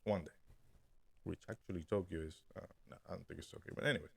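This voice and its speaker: A young man co-hosting a podcast, telling a dream story in an animated way, laughing and acting out what people said. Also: deep voice, quick pace, vocal fry, husky. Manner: animated, laughing, acts out quotes. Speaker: podcast co-host. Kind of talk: casual chat, storytelling.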